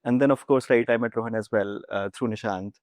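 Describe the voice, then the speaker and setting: A man's voice: lowish voice, steady tone, brisk, darkish tone, dry recording, clean studio sound. A young man with an Indian English accent, recounting things step by step as an informal interview guest. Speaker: startup founder, interview guest. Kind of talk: casual career recounting in an interview.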